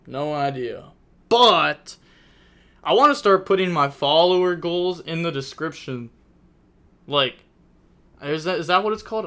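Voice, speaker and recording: A man's voice: a little high, fairly bright tone, clear, resonant tone. A young man casually commentating a card game tournament on his live stream, chatty and rambling as he thinks aloud.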